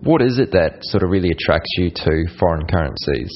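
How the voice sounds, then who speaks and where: Low-voiced male interviewer. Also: lively intonation, brisk, slight background noise. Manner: eager, leaning on the filler "sort of". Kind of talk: interview questions. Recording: dull lo-fi audio.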